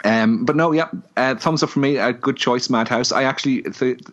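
A man co-hosting a podcast, casually reviewing a film with lots of ums and pauses. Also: mid-range voice. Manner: relaxed, many ums and hesitations. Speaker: podcast co-host. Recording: dull lo-fi audio.

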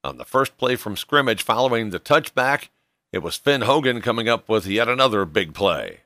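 A man's voice: medium pitch, expressive, normal speed, sharp tone, no echo, clean studio sound. A man hosting a sports show, narrating a scripted recap of a football game.

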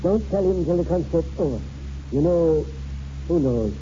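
A man's voice: fairly high pitch, expressive, moderate pace, warm tone, slight background noise, natural voice. An actor playing a wry, kindly old grandfather with a folksy, knowing manner in a 1940s radio drama. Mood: wry comfort. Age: older. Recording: dull 1940s radio broadcast, music underneath.

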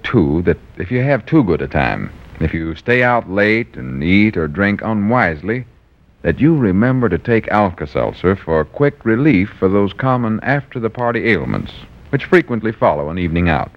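A man announcing and narrating a 1940s radio show in a smooth, friendly sales-pitch manner. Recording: dull old 1940s radio recording.

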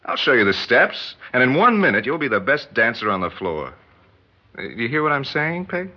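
An actor with an American accent playing an ardent, gentle young suitor, earnest and persuasive, in a 1960s radio drama. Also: male voice, mid-range voice, sing-song, moderate pace. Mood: warmly persuasive. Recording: muffled 1960s radio recording.

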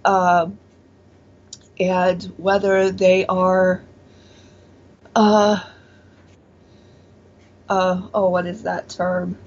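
A woman's voice: fairly low pitch, flat delivery, extremely slow, pauses often, a little mellow. A woman in her forties, born in Taiwan and raised in Pennsylvania, speaking as an interview guest, hesitant with many fillers and restarts. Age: 40s. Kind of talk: casual interview, personal testimony. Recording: dull lo-fi audio.

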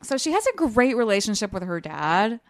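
A woman's voice: medium pitch, sing-song, brisk, no room sound, studio quality. A woman chatting as a podcast co-host, with a mid-range voice and very little room sound. She talks informally and slangily, with a wry edge, as if discussing a book with a friend. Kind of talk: casual book-discussion chat.

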